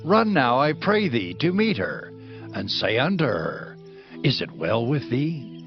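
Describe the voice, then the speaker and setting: Solemn bible prophet, gravelly declamatory voice, music under it. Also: male voice, mid-range voice, sing-song, unhurried, highs cut off. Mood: concerned. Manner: declamatory, archaic formal phrasing. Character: Elisha, commanding male prophet, solemn holy man. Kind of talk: dramatized scripture audio acting.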